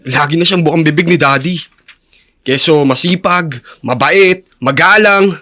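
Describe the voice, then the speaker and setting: A sulky jealous young man in a radio drama, voice a bit high, irritable. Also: unhurried, sharp tone. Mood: sullen, jealous. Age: young adult. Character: resentful, jealous young son, surly and easily irritated.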